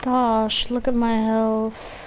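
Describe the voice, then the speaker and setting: Slow, high-voiced woman streaming a game. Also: little pitch movement, a little hiss or hum, rough recording. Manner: slangy, short offhand reactions. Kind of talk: casual gameplay commentary.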